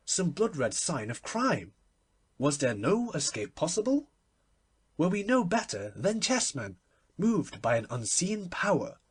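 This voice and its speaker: A man reading literary fiction as an audiobook narrator, expressive and dramatic. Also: a little high, breathy edge.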